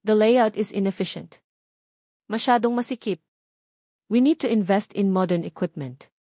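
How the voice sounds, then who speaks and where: A woman's voice: medium pitch, normal speed, mellow, dry recording, clean studio sound, lightly acted. A woman with a Filipino accent voicing a cold, unyielding male executive in an audio love story, formal and clipped. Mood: firm, critical.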